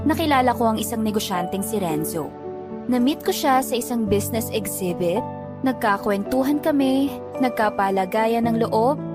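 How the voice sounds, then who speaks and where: A woman's voice: a little high, close to an everyday voice. A woman narrating a scripted first-person drama as a reflective young woman, with a Filipino accent. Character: young woman narrating her own love life, reflective. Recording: music bed behind voice.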